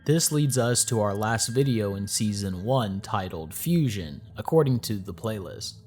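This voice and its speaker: A man's voice: lowish voice, expressive, a little slow, a little mellow. A man hosting an analysis video, reading scripted explanatory narration addressed to his viewers. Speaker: host of a video analysis channel.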